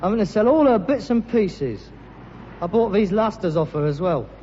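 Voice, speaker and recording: A muffled, echoey old sitcom recording carries an indignant working-class London son. His Cockney voice swings widely in pitch, full of sarcasm and mock pomposity. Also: lively intonation, moderate pace, warm tone, a little hiss or hum. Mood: resentful. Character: exasperated grown-up son, working-class rag-and-bone man. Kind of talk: vintage British sitcom acting.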